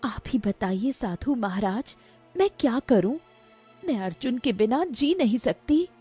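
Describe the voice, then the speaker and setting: An earnest young village woman in a cartoon folk tale, acted by a woman narrator, pleads and questions with lively pitch swings. The voice is mellow, over faint music. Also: measured pace, slightly rough. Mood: pleading.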